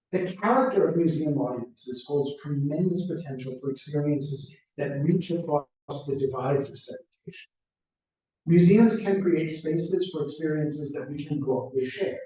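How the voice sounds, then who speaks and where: A middle-aged American man delivers a prepared plenary talk in a measured, orderly way, often building lists. His voice is mellow and slightly high for a man. He sits back from the microphone in a very echoey room. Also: distant mic. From United States. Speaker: plenary speaker.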